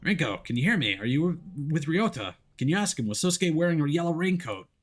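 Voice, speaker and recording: Casting: male reader as gruff, defiant detective, blunt and urgent, game story delivery. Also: on the high side, sing-song, brisk, pitched above the performer's own voice. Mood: urgent, worried. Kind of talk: dramatic visual novel read-aloud.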